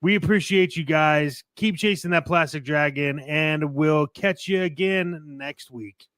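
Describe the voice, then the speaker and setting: Male podcast host, higher-pitched, casual but emphatic promo chat, dry close studio sound. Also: measured pace, a bit bright, no echo. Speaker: podcast and radio show host. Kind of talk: casual show sign-off chat to listeners.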